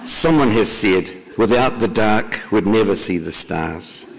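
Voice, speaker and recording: A man introducing a conference plenary session, with dry deadpan jokes and frequent throat clearing. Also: lowish voice, a little slow. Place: conference hall. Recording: long hall reverb.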